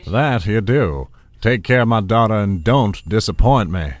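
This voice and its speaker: Smooth scheming patriarch, controlled and condescending. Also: male voice, lowish voice, expressive, unhurried, slight background noise. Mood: patronizing, assured. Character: smooth, scheming, domineering father and blackmailer. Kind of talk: audio drama acting.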